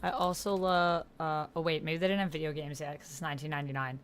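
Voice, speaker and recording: A woman with a slightly low voice streams a text-based roleplaying game. She talks casually, thinking aloud and reacting to what she reads as she addresses her chat. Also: fairly even intonation, fast talker, slight background noise.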